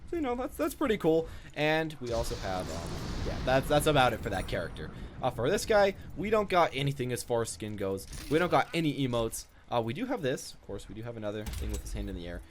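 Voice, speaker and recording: A man's voice: medium pitch, sing-song, fast talker. A young man giving casual, off-the-cuff commentary as he shows off items in a video game. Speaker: gaming video creator. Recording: noticeable background noise.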